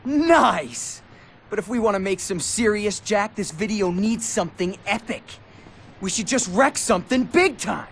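A man playing a brash, excitable young skater in a video game cutscene. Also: sits high, unhurried, a little hiss or hum, full character voice. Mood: eager.

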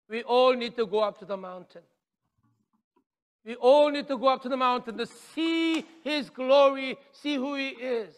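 A middle-aged man preaching on a church stage, gesturing widely and swinging from loud declarations to quiet near-whispers, in English with dropped articles. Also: very high-pitched. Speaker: pastor. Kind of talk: sermon. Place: church stage with drums and podium. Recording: long reverb of a church hall.

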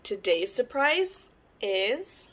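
A young woman hosting storytime, reading a children's picture book aloud with animated, deliberate phrasing. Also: fairly high pitch. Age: young adult. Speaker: storytime host.

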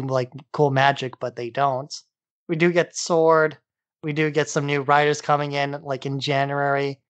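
A man's voice: on the high side, a bit bright, no echo. A man co-hosting a podcast, talking casually and conversationally. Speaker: podcast co-host.

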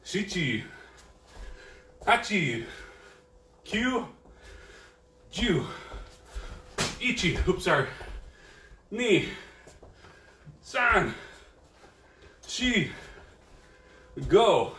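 A man's voice: high-pitched, sing-song, extremely slow, long pauses, sharp tone, a little hiss or hum. A man teaching an online karate class, counting drills aloud and explaining self-defense with an easygoing, joking manner. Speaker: karate instructor. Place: dojo training room. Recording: echoey room, voice from far off.

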